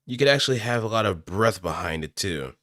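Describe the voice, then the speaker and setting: Male amateur voice actor, low and animated, informal rambling chat, dry close-mic sound. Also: fairly quick, no echo. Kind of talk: casual solo chat while practicing voice lines. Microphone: close studio microphone through an audio interface.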